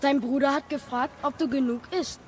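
A woman's voice: high voice, fairly even intonation, fairly quick, natural voice. A woman playing a curious, eager young boy, a warrior's son, in a video game audio drama. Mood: curious, probing. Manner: inquisitive. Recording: clear background noise.

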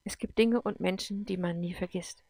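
Woman narrator as haunted man, quiet, subdued, despairing, reflective, creaky, dry audiobook sound. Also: medium pitch, steady tone, moderate pace, no echo, natural voice.

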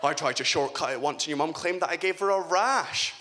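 A man's voice: a little high, a little fast, background noise, natural voice. A young man doing his blunt dad. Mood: earnest advice. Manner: matter-of-fact fatherly advice. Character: blunt middle-aged Scottish dad giving an awkward talk.

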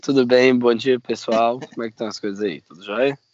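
A man in his thirties from Minas Gerais, Brazil, with a Minas Gerais accent, guesting on a podcast and telling stories in a relaxed, self-deprecating way. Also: medium pitch, fast, no echo. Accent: Minas Gerais Brazilian Portuguese. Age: 30s. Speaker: podcast guest, biologist and reptile breeder. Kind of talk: casual interview chat. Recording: dull lo-fi sound, dry.

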